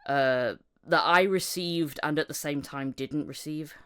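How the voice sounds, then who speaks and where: A woman's voice: deep voice, unhurried, clear, resonant tone. A British woman hosting a casual podcast chat, hesitant and self-effacing, recorded in a bedroom on a condenser microphone. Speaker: podcast host, voice actor. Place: bedroom at home.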